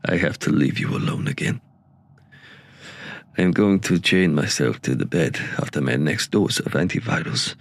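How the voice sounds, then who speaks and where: Man playing a very deep, gravelly, grieving man. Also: exaggerated voice. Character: grave, regretful man with medical training, saying a hard farewell. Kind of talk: audio drama monologue.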